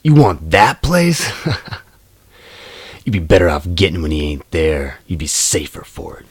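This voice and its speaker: A man playing a tough, cynical low-level henchman in a radio play, husky and gravelly, with dismissive street talk. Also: expressive, takes it slowly, exaggerated voice. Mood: cornered.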